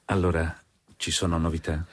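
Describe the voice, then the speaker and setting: An actor playing a stern, unforgiving middle-aged male lawyer in a radio drama, husky and formally emphatic. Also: deep voice, level tone, normal speed.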